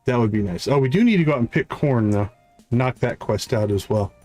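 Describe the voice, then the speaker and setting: A man's voice: mid-range voice, expressive, a little fast, a little mellow, soft background music. A man giving casual live gameplay commentary as a streamer, muttering short, easygoing remarks to himself. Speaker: game streamer.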